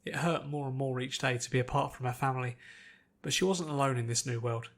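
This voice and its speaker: A man reads fantasy fiction aloud as a narrator. His mid-pitched voice carries a scripted audiobook delivery. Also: steady tone, fairly quick, fairly bright tone.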